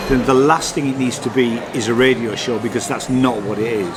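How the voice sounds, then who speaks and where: A man hosting a vlog, giving a casual, halting and blunt review to camera. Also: medium pitch, steady tone, noisy background. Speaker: vlog host reviewing festival shows. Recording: loud crowd chatter behind. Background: busy crowd.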